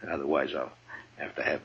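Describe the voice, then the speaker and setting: Tough Western lawman, forceful, blunt, firm but fair, dull vintage radio recording. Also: very deep voice, breathy edge, exaggerated voice. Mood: amused, gentle. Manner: authoritative, blunt. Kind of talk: 1950s radio western acting.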